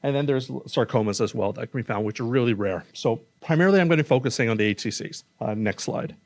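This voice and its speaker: A man's voice: medium pitch, fast talker. A man, an oncologist, giving a casual, plain-spoken public talk with slides in a conference room, speaking into a stage microphone. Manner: casual, plain-spoken, says he has a loud voice.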